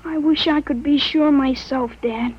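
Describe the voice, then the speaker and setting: A woman voicing a thirteen-year-old boy with a grown man's mind, speaking calmly and precisely in a 1940s radio drama. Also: high voice, unhurried. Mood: earnest. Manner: calm, precise, matter-of-fact. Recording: dull lo-fi old radio recording.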